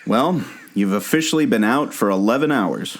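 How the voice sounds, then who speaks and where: Casting: earnest jungle-guide turned amateur detective , played by a man, slightly deep voice, storytelling delivery for radio theater. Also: animated, normal speed. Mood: explaining. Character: earnest, obsessive amateur sleuth, male former jungle skipper. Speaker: podcast co-host. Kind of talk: scripted audio drama dialogue.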